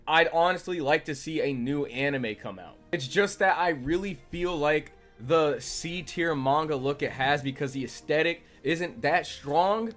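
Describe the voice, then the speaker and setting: A man's voice: fairly high pitch, a bit bright. A man delivering review commentary as a video reviewer. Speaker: manga reviewer. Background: background music.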